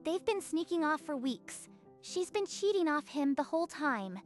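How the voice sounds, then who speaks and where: A woman's voice: high-pitched, lively intonation, normal speed, faint music behind. A woman playing a smug, accusing teenage mean girl in an anime-style manga dub. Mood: scornful.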